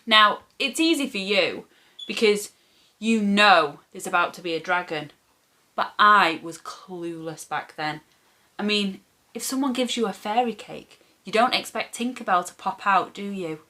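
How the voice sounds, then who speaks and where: A woman reading a children's story aloud as a storyteller, with expressive, animated phrasing for young listeners. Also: mid-range voice, unhurried.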